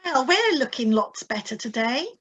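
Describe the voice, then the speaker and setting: A kindly hospital nurse played by a woman with a high voice. She speaks carefully and gently, as in a radio play read live over a video call. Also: very animated, moderate pace, exaggerated voice. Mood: cheerful. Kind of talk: radio drama acting.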